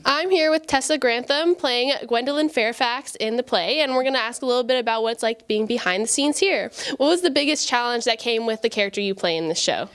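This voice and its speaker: A woman's voice: fairly high pitch, expressive, fairly quick, few pauses, a bit bright, clean tone. A young woman interviewing student actors, asking friendly questions.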